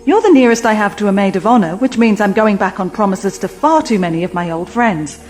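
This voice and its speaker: Cheeky young female companion, played by a woman: mid-pitched, informal, quippy, soft music behind. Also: lively intonation, a little fast, lightly acted. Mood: determined, quippy. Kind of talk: audio drama acting.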